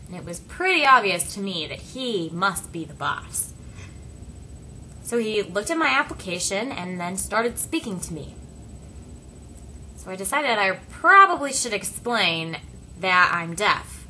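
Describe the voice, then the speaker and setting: A woman with a bright, forward voice tells a personal story in the first person. She speaks at a middle pitch, phrase by phrase, leaving deliberate pauses for drama. A faint noise sits behind her voice. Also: very animated, rough recording. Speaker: interpreter voicing a signed personal story.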